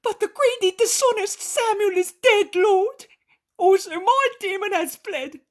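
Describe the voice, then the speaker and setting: A woman's voice: extremely high pitch, measured pace, voice pushed up. A woman voicing a repentant male innkeeper who pleads and praises fervently. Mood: joyful.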